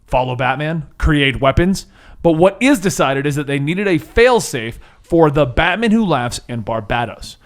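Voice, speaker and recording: Higher-voiced man running a tabletop game. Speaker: game master. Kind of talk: scripted recap narration with casual banter.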